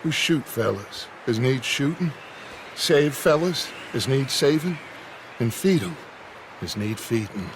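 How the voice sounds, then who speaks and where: An actor playing a commanding middle-aged American outlaw gang leader in a video game, theatrical and oratorical, bent on vengeance. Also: male voice, mid-range voice, animated, unhurried, noisy background. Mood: smug, menacing. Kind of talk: dramatic video game acting.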